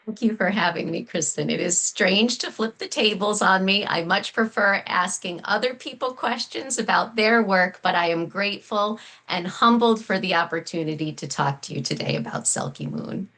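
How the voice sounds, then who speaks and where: A woman's voice: mid-range voice, normal speed, hardly any pauses, fairly bright tone. A woman speaking as a guest author, explaining earnestly in full, flowing, articulate sentences. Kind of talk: explanatory interview talk.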